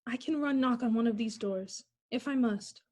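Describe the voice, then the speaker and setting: A woman's voice: on the high side, normal speed, darkish tone, natural voice. A woman playing a frightened young woman alone at night, talking anxiously to herself in a theatrical old radio drama style. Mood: uneasy resolve. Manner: anxious self-talk, theatrical. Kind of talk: 1940s radio play acting. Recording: hissy, noisy recording.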